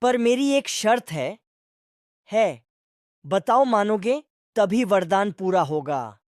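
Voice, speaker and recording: A woman's voice: medium pitch, expressive, slow, a little mellow, dry recording. Dry-sounding, commanding deity voiced by a woman. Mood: solemn, commanding. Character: benevolent commanding god granting boons. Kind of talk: cartoon voice acting.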